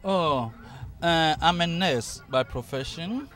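A man's voice: fairly high pitch, animated, slow, fairly bright tone. A middle-aged man with a Ghanaian accent, a nurse, speaking earnestly and plainly about his charity work, interviewed outdoors on a handheld mic. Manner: earnest, plain-spoken, adds short "yeah". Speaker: nurse and nonprofit organizer. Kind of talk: festival interview. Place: outdoors at a festival stall. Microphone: handheld mic held by interviewer.

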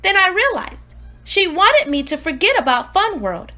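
A woman voicing a precocious, self-important newborn baby diarist, earnest and exclamatory, in an expressive storybook read-aloud. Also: very high-pitched, normal speed, faint music behind, full character voice. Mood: dreamy, insistent.

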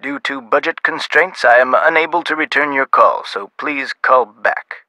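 An actor voicing a dry, guarded but chatty government clerk in a video game phone conversation. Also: male voice, medium pitch, normal speed, noisy background, close to an everyday voice. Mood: businesslike.

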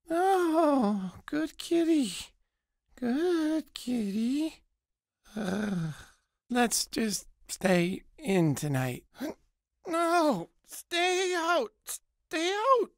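A bumbling, paranoid dad from an animated comedy game. His whiny, foul-mouthed delivery swings widely in pitch. The sound is close and dry. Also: drawn-out words, no room sound, full character voice. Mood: panicked, angry. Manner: jittery, whiny, exclamatory.

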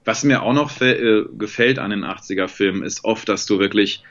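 A man in his forties hosting an interview over a video call, talking in a relaxed, reflective way. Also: fairly low pitch, fairly quick. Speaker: podcast host. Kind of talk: casual video-call chat. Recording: slightly dull, lo-fi call audio.

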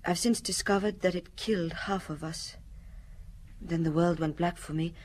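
A woman playing a sad, quiet young refugee widow in a radio drama, speaking in a halting, reflective way. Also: on the low side, steady tone, slight background noise, natural voice. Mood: sad, remembering.

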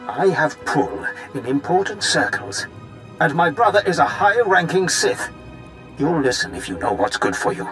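A man's voice: fairly high pitch, big pitch swings, moderate pace, a bit bright, soft background music. An actor playing a wry, blustering Imperial officer and anxious father in a video game, speaking in a dramatic, emphatic manner. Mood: desperate, threatening. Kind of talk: video game character dialogue.

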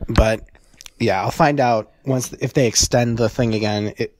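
A man's voice: mid-range voice, fairly even intonation, moderate pace, darkish tone, slight background noise. A man in his twenties chatting casually as a podcast co-host from home. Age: 20s. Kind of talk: casual chat. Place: room at home on a video call.

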